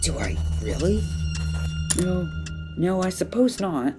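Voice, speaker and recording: Lady doing a smart polite little boy, kinda low voice, swings up and down, radio play with music. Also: expressive, slow pace. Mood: puzzled, pondering. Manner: thinks aloud, questioning, polite. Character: bright, modest, earnest orphan boy taking a test. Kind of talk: audio drama acting.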